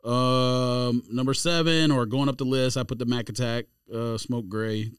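A man with a smooth, clear voice talks casually on a podcast panel. He is offhand and a little teasing as he works through a list, at a middle pitch, recorded close and dry. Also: fairly even intonation, measured pace, dry recording. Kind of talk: casual chat, reading out a ranked list.

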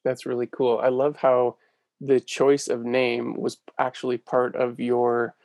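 A man hosts an interview and asks his guest about her story. His voice is gravelly, and the sound is dry and close. He is encouraging and affirming and leans on fillers. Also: medium pitch, completely flat delivery, unhurried, a little mellow, no room sound. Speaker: interviewer, host.

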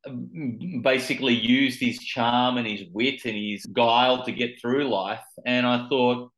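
Australian male author, mid-range pitch, thoughtful with restarts, reverberant room. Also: steady tone, a little slow, a bit bright. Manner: thoughtful, with frequent restarts and repeated words. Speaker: author guest. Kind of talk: podcast interview answers.

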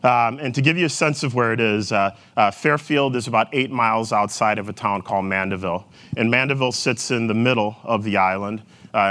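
A man's voice: on the low side, fairly bright tone. A middle-aged man from Jamaica giving a talk to an audience, telling his story in a reflective way with fillers. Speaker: guest speaker.

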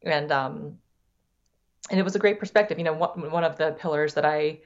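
A woman's voice: deep voice, normal speed, dull audio. A middle-aged woman, Thai as her first language and raised in the United States, speaking reflectively as a podcast guest, often restarting her sentences. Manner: reflective, many restarts and repeated words. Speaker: guest, investor. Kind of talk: podcast interview.

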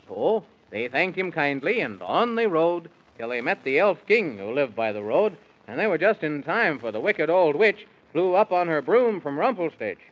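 A man's voice: on the high side, sing-song, normal speed, hardly any pauses. A man narrating a children's story in rhyme with theatrical sing-song delivery, in the style of 1930s radio. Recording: dull, muffled 1930s radio recording.

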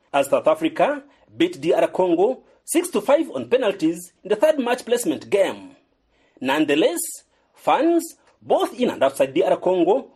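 Man, news reporter, scripted report, raspy tone, very animated intonation. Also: sits high.

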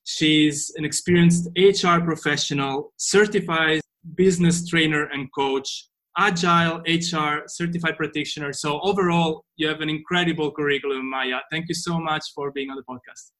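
A man's voice: fairly high pitch, steady tone, normal speed. A man hosting a podcast, introducing and questioning his guest with many repeated words and restarts. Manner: stumbling repetitions, warm thanks. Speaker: podcast host. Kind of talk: casual interview hosting.

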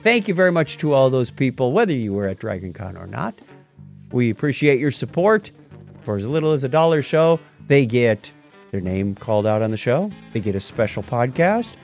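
A man's voice: medium pitch, normal speed, faint music behind. A middle-aged man co-hosting a long-running tech podcast in a casual, jokey chat. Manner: animated, jokey, reads out patron names. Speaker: podcast co-host.

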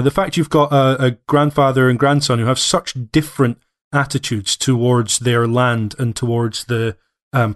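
Podcast guy mulling over a game, lots of ums, middle-pitched. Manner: thoughtful, hedging with many fillers. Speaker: podcast co-host. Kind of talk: casual game discussion.

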